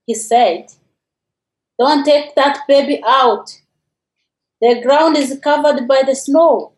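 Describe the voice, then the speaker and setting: Slow, higher-voiced Rwandan woman storyteller. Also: a little mellow, poor audio. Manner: dramatic pauses, rhetorical questions. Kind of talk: personal radio storytelling.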